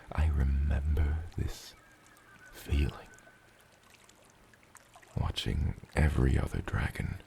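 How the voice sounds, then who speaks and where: A man voicing a huge, gentle father dragon in an ASMR roleplay, with a gravelly, breathy rumble and tender, eloquent phrasing. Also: full character voice. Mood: wistful, soft. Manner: slow, tender, eloquent, slightly old-fashioned wording.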